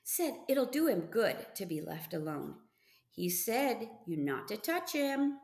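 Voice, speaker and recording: Woman playing a worried farm mother, creaky and echoey. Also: medium pitch, very animated, slow pace, a little mellow. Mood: cautioning. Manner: admonishing, weary, placating. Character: worried, scolding farm mother of a teenage boy.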